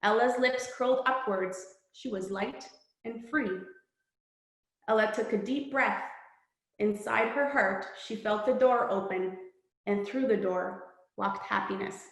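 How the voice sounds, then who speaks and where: A woman's voice: mid-range voice, measured pace. Polish-born woman author in an echoey room. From Poland. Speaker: children's book author. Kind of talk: reading aloud, then interview answers.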